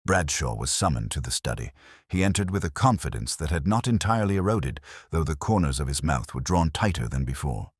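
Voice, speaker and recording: A man narrating a scripted mystery story in a deliberate, dramatic, literary manner. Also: rumbling low, sing-song, slightly rough, no echo, studio quality. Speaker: audiobook narrator.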